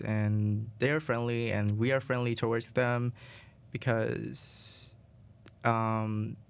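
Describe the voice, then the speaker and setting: A young man from Vietnam with a Vietnamese accent, a student answering interview questions in a halting way, searching for words. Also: mid-range voice, gravelly, smooth.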